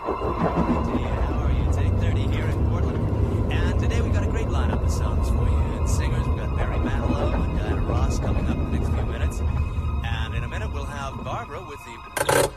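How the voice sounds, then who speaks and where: Radio DJ, wildly swooping pitch, upbeat, breezy patter, loud music behind. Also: male voice, huge pitch swings, normal speed. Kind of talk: radio DJ patter in an audio drama.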